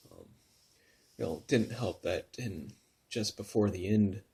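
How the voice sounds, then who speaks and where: Soft-spoken, halting man in his thirties. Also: on the low side, creaky, breathy edge. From Northern California. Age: 30s. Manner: soft-spoken, halting, many pauses and restarts, drops to near whisper. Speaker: vlogger telling his story. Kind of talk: personal confessional vlog.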